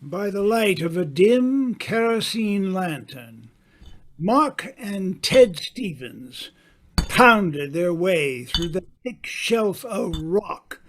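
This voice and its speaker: A man playing a grave mystery narrator in a radio drama, deliberate and full of dramatic pauses. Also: high-pitched, lively intonation, exaggerated voice. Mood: ominous, suspenseful. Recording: faint background noise.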